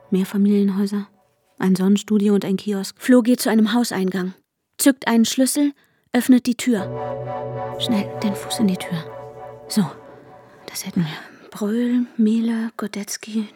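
An actress playing an anxious, determined woman in a radio drama, narrating intimately and urgently, often dropping to a hush. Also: medium pitch, natural voice. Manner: urgent, often dropping into a hushed near-whisper. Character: anxious, determined young woman searching for her missing partner.

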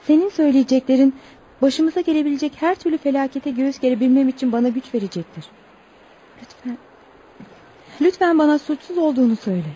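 Woman acting a high-voiced earnest young bride-to-be. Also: quick pace, dark tone, close to an everyday voice. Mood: pleading. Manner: earnest, theatrical storytelling. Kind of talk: Turkish radio theatre acting. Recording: noisy old radio drama recording.

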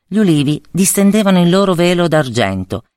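A woman tells a fairy tale as a scripted, expressive narration. Her pitch moves widely, and her phrasing is theatrical and measured, with pauses. The recording is dry. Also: clean tone, no echo. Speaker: storyteller.

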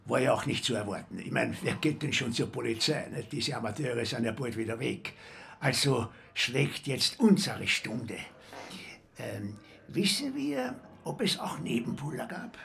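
An actor playing a mocking, bossy care-home resident with an Austrian accent who fancies himself a detective in a radio drama. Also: male voice, medium pitch, very animated, a little slow, vocal fry, a bit bright, slight background noise, full character voice. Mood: mocking, scheming. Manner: mocking, condescending. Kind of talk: naturalistic radio drama acting.

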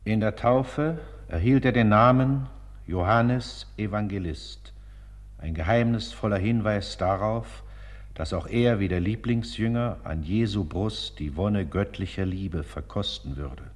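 A man narrating a scripted religious life story in a solemn, measured manner, with long reverb around him. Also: fairly low pitch. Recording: long reverberant hall sound.

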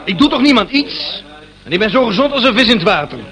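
An actor playing an eccentric young student tenant in a radio play, polite but innocently defiant. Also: male voice, very high voice, animated, quick pace, fairly bright tone, faint music behind. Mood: indignant.

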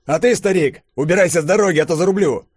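A brutal soldier, as a man puts him on barks contemptuous threats in a raised, loud voice. The recording is dry. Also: high-pitched, quick pace, breathy edge, no room sound, pitched above the performer's own voice. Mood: threatening. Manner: barking, threatening. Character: brutal male Red Army soldier, contemptuous.

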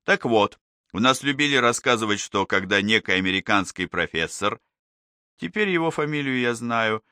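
A man reading a first-person memoir aloud as an audiobook narrator, measured, with emphatic stresses. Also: fairly low pitch, expressive, bright, forceful tone, smooth, no room sound, clean studio sound.

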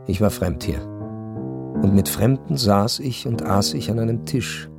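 A man narrating a first-person literary radio drama in a measured, reflective way. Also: fairly low pitch, vocal fry, over music.

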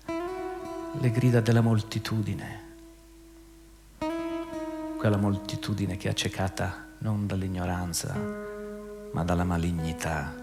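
A man's voice: deep voice, fairly even intonation, takes it slowly, pauses often. Man in theatrical narration over guitar music. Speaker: narrator in a radio theatre piece. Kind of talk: dramatic scripted narration, theatrical literary reading. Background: music with plucked guitar.

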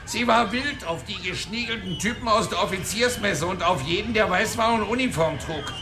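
A man's voice: sits high, normal speed, music in the background. An actor playing a cantankerous, scrawny old man who talks boastfully and crudely in a radio play. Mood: mocking. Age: older. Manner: cranky, mocking, boastful.

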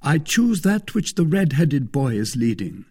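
Guy doing a vain young musketeer for a storybook, super excitable, high swoopy voice, drags out words, all exclamations. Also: husky, heavily acted. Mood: excited. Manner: exclamatory, astonished questions, drawn-out words. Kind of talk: storybook character dialogue.

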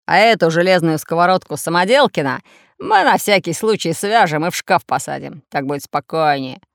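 A woman voicing a red-bearded male pirate captain, a gloating storybook villain with a hoarse, barking voice. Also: fairly low pitch, expressive, no room sound, studio quality. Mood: bossy. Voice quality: hoarse, raspy. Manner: barking, gloating, drawn-out menace.

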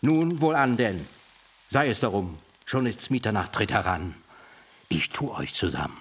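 A man's voice: lowish voice, very animated, normal speed, fairly bright tone, husky, exaggerated voice. An actor playing a coarse, domineering old sheep breeder who is the bride's father, blunt and mocking, in a German radio drama. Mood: ceremonious. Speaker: character in a radio play.

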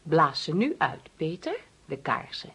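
A middle-aged mother in a radio drama, grieving and bitter yet submissive. Her voice is husky and slightly breathy. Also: deep voice, sing-song, measured pace, a bit bright, heavily acted. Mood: eerily docile. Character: bereaved, bitter mother, obedient to her husband.

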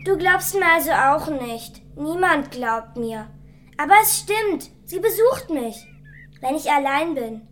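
A woman's voice: sits high, animated, unhurried, fairly bright tone. An eerie little girl. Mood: insistent. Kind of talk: radio play acting. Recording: radio play with music behind.

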